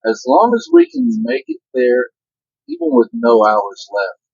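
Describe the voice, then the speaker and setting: An older male trucker talks in a husky voice that rises and falls a lot. He gives safety advice in a matter-of-fact way. The sound is dull and dry, with no room echo. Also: medium pitch, huge pitch swings, unhurried, darkish tone. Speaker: truck driver. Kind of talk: casual vlog chat.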